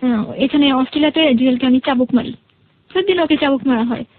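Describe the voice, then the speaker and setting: High-voiced young Bangladeshi woman recounting her story. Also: fast, no room sound, phone-quality sound. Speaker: guest telling her life story. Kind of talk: conversational personal storytelling, quoting reported dialogue.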